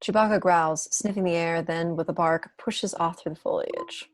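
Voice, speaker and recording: Low-voiced woman narrating a script. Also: moderate pace, no room sound. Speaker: narrator reading stage directions. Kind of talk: scripted narration at a table read. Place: indoor room at home, video call.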